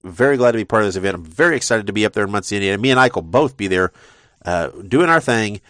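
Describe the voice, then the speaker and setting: A man's voice: lowish voice, lively intonation, quick pace, a bit bright, no room sound, studio quality. A man hosting a wrestling podcast in a rambling casual way, congested from a sinus infection. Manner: rambling, with frequent uh fillers and repeated words. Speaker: podcast host.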